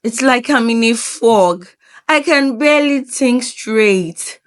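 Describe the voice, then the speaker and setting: A woman's voice: fairly high pitch, lively intonation, takes it slowly, clean tone. A woman voicing a distraught young wife in a folktale, trembling and pleading. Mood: lost, dazed. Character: distraught young wife, desperate, fearful, confessing to her mother.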